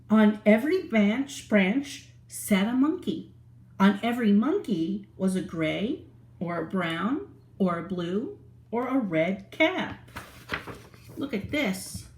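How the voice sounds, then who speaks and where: A woman reading a picture book aloud to young children, animated and asking them questions. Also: medium pitch, slow pace. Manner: animated, asks the listener questions. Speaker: children's storyteller. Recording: roomy echo.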